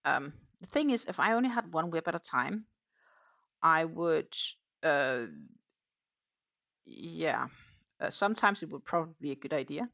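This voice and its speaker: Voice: medium pitch, animated, unhurried, vocal fry, long pauses, sharp tone, dry recording, muffled recording. A crochet livestream host from Denmark with a Danish accent, chatting casually and answering viewer comments while working. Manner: thinks aloud, with many fillers and trailing sentences.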